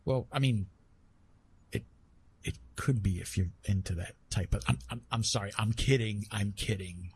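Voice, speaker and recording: A man playing a retired god of love in an intimate ASMR roleplay, tender and teasing, speaking softly with a husky, breathy voice and halting, reassuring delivery. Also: fairly low pitch, a little hiss or hum, close to an everyday voice. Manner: gentle, reassuring, halting with pauses and self-corrections.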